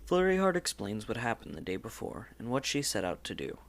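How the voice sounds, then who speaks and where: A man's voice: medium pitch, big pitch swings, a little fast. A man narrating a scripted story in the third person, in a storybook style.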